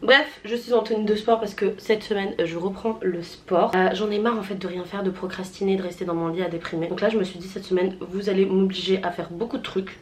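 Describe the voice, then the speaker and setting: A woman's voice: medium pitch, steady tone, fairly quick, hardly any pauses, a little mellow, a little hiss or hum. A woman in her late twenties from southern France, chatting casually in a vlog with many fillers and self-mocking asides. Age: late 20s. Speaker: vlogger. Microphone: handheld camera.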